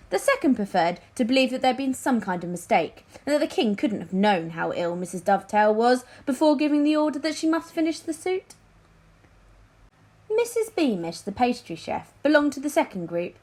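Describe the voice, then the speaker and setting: A woman reading a children's story aloud in an expressive, teacherly narration. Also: on the high side.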